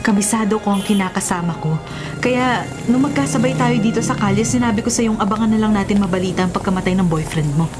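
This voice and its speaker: A defiant woman out for blood played by an actress speaks combatively and emphatically at a medium pitch. It is radio drama acting with music clearly underneath. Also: fast talker, close to an everyday voice. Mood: angry, defiant. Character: defiant, vengeful woman, partner of a convict.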